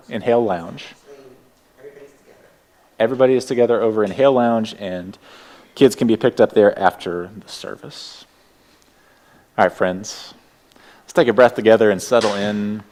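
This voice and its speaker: A man with a Texas accent opens a congregation's service from the sanctuary. His voice sits a touch low, and his words come gently and unhurried as he welcomes everyone. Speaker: worship leader. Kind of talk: welcoming church announcements and opening words. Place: church sanctuary.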